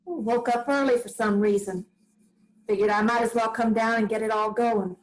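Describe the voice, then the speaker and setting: Woman reading old farm widow, dry, wry, plainspoken, stubborn, slightly high voice. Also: steady tone, moderate pace, lightly acted, low-quality recording. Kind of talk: staged play reading.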